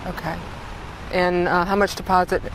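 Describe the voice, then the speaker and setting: A woman's voice: lowish voice, level tone, moderate pace, background noise. A woman in casual, hesitant conversation outdoors in a yard, caught on a hidden camera.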